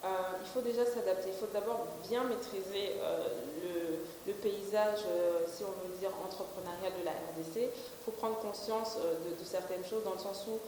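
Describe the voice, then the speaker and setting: A woman's voice: medium pitch, steady tone, moderate pace, few pauses, dark tone. Young woman director talking in a big echoey room, lots of euh and restarts, faint hiss. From Belgium. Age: 20s. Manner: hesitant, many fillers and restarts. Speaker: company director. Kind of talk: interview answers.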